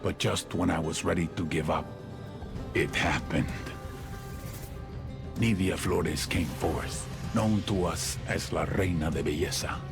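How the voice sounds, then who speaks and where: Solemn cartel preacher, very low voice, gravelly, reverent game monologue, music behind. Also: male voice, unhurried. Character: solemn cartel preacher exalting his queen like a saint. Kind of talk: slow sermon-like dramatic monologue.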